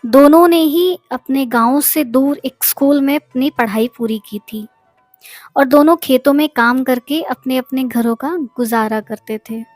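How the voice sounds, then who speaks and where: A woman's voice: high-pitched, measured pace, no room sound. A woman narrating a scripted story as a storyteller. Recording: music behind the voice.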